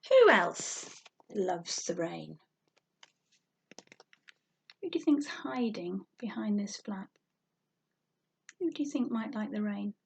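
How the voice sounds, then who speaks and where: A woman reading a picture book aloud to young children, animated and sing-song, drawing out words and asking questions. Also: medium pitch, takes it slowly, vocal fry, long pauses, husky. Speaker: storytime reader for young children.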